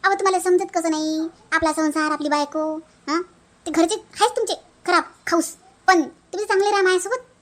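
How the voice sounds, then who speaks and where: Woman as young newlywed daughter-in-law, very high pitch, Varhadi Marathi accent, plaintive, weary, colloquial, family cartoon. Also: fairly quick. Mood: scolding. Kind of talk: animated family comedy dialogue.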